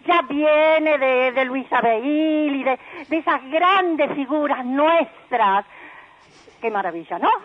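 A woman's high voice comes through a tinny, phone-like line. She leaves an effusive, admiring message for a radio show. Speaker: caller.

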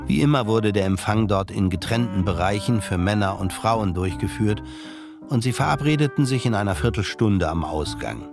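Male narrator, fairly low voice, scripted literary narration, radio drama, clear music underneath. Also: expressive, moderate pace.

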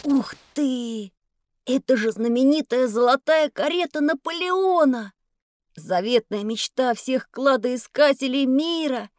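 High-pitched, excitable schoolboy. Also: female voice, unhurried, no echo. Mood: awed, wistful. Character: excitable, adventurous schoolboy dreaming of heroic deeds. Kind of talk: children's educational audio drama.

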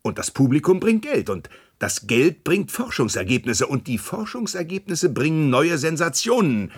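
An actor in a German radio play, playing a boastful, scheming animal park director, effusive and emphatic, with hesitations and repeated words. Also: male voice, a little high, big pitch swings, moderate pace, slightly rough.

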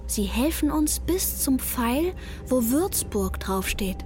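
An actress playing a sharp-witted teenage daughter who narrates a radio play with dry, sardonic asides. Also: female voice, high voice, big pitch swings, slow, full character voice. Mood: hushed, watchful. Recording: music behind the voice.

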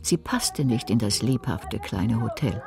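A woman with a low voice narrates a radio drama. Her scripted reading moves with lively intonation over a faint bed of music. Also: measured pace, gravelly.

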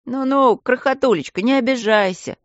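A woman's voice: on the low side, lively intonation, normal speed, no echo, lo-fi sound. A woman voicing a fussy, doting old male stone guardian in an audiobook, lecturing primly like a schoolmistress. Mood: fretful, coddling. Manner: prim, coddling, schoolmistress-like lecturing.

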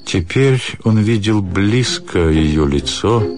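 A man reads literary prose as a radio narrator. His voice is deep and he takes his time, pensive and measured, with music clearly playing underneath. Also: expressive.

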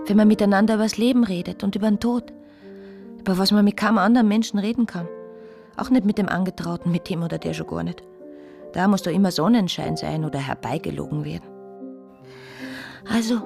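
A woman's voice: mid-range voice, gravelly, close to an everyday voice. An actress in a radio play voicing an earnest, probing woman in love with a married man, speaking intimately and insistently. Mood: tender, searching. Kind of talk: German-language radio play acting.

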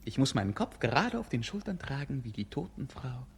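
Languid, bored prince, swooping theatrically. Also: male voice, mid-range voice, big pitch swings, brisk, slight background noise. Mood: mocking, melancholy. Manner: theatrical, poetic, mocking. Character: bored, languid, capricious young prince and lover. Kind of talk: 1950s German radio play acting.

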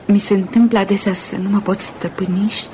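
An actress playing a fragile, wistful young woman in love in a Romanian radio play, soft and dreamy. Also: mid-range voice, steady tone, slight background noise, close to an everyday voice. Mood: wistful, tearful. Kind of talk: Romanian radio theatre acting.

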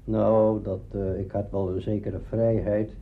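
A man telling informal anecdotes from memory in a halting, conversational way. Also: on the low side, flat delivery. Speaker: radio drama maker interviewed. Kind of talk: informal spoken reminiscence. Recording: dull recording with faint noise.

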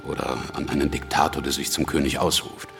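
An actor playing a domineering, scheming revolutionary politician in a radio drama, blunt and commanding. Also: male voice, a bass voice, level tone, fairly quick, fairly bright tone, faint music behind, full character voice. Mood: forceful, persuasive. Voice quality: gravelly.

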